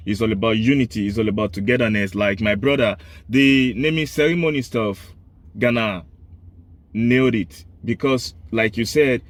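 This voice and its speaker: A man's voice: lowish voice, normal speed, no echo. A man hosting a talk show, eagerly agreeing with his guest and adding his own points. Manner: eager, frequently affirms the guest. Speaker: show host. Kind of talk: conversational discussion.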